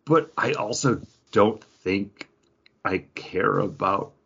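A man co-hosting a podcast in casual chat, rambling and animated with lots of restarts. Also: on the low side, slow pace, gravelly, breathy edge. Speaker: podcast co-host. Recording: dull lo-fi podcast audio.

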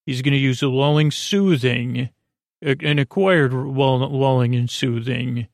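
A man with a creaky voice hosting a bedtime podcast, rambling hesitantly and often losing his thread. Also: mid-range voice, fairly even intonation, a little slow, dry recording, clean studio sound. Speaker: podcast host.

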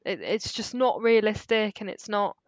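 A woman's voice: mid-range voice, no echo. Chatty female podcast co-host. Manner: chatty, emphatic, quick to laugh. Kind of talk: casual film discussion.

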